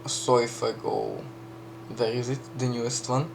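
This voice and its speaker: A man with a Serbian accent casually walking through a game map he built, chatty, full of fillers and quick self-corrections. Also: medium pitch, flat delivery, gravelly, a little mellow, a little hiss or hum. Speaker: map creator giving a tour. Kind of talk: casual gameplay walkthrough.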